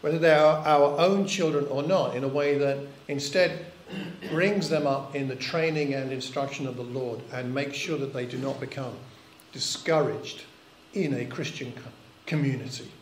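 A man's voice: medium pitch, moderate pace, a little hiss or hum. An older man preaching a sermon in church, earnest and reflective, often asking rhetorical questions. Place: church hall. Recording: echoey church recording.

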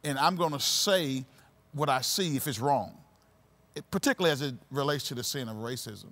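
Measured pastor, mid-pitched man. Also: a bit bright. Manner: measured, affirming. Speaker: pastor, podcast host. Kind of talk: conversational interview.

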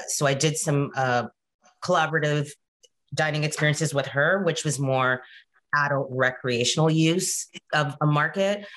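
A woman in her forties from Canada, talking casually on a video call, animated and chatty, often checking in with "right?" and "you know". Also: rumbling low. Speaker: guest presenter. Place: home room.

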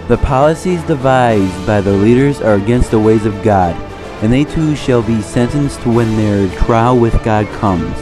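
A man reading scripted 1930s-style propaganda film narration in a solemn, formal, preachy manner. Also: medium pitch. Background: music.

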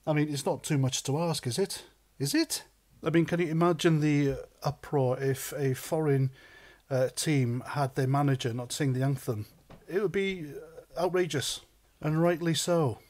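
A man's voice: a little high, normal speed, darkish tone. Blunt North Welsh man ranting. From North Wales. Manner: blunt, indignant, sweary. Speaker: vlogger, ex-soldier. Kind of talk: opinion rant to camera.

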